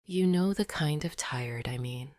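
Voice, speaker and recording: A woman narrating a scripted sleep meditation in a soft, breathy near-whisper, gentle and deliberate. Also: low voice, animated, no room sound, studio quality.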